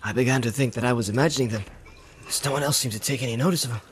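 A man's voice: mid-range voice, very fast, slight background noise, lightly acted. A man voicing a small, bruised young male hobbit who asks worried, eager questions in a storybook reading. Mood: anxious.

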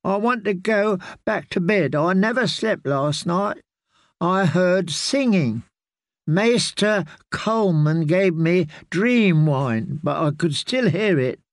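A man's voice: high-pitched, lively intonation, no echo, clean studio sound, pitched above the performer's own voice, heavily acted. A man voicing a sulky, sickly little boy lord who whines and draws out his complaints. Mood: whining. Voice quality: whiny, drawn-out complaining.

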